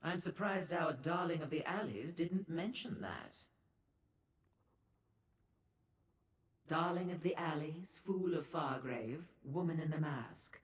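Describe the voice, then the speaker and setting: A woman's voice: deep voice, measured pace, hardly any pauses. A woman voicing a seductive, worldly female Daedra merchant in a video game, with a coy, theatrical, condescending manner and ornate formal phrasing. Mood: knowing, condescending. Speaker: information broker and proprietor. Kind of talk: video game character dialogue. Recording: echoey hall-like reverb on the voice.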